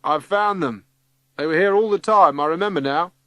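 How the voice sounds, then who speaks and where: An older working husband acted by a reader, a man in a storybook, calling out colloquially. The voice is raised, bright and forceful. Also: fairly high pitch, normal speed, dry recording, voice pushed up. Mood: excited, relieved.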